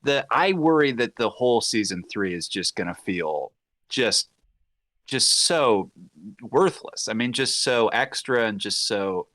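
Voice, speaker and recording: Animated man in casual banter. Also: mid-range voice, unhurried, dry recording. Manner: acts out imagined quotes, repeats himself for emphasis. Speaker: podcast panelist.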